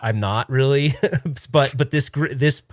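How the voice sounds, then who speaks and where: Male podcast host, thirties, middle pitch, loose and rambling, dry close sound. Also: a little slow, dry recording. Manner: rambling, self-interrupting, laughs easily. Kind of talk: casual podcast chat.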